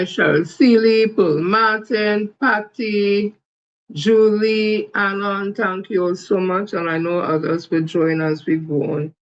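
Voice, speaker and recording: Gravelly man hosting a live stream. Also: sits high, highs cut off. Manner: loose, halting delivery with fillers. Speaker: host. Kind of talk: casual live-stream commentary.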